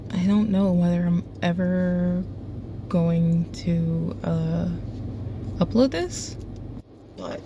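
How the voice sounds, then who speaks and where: A woman's voice: fairly low pitch, a little mellow, a little hiss or hum. Rambling, sleep-deprived young woman vlogging. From South Florida. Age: 20s. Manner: rambling and chatty with many fillers. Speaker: vlogger and night shift nurse. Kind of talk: casual vlog chat. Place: airport terminal.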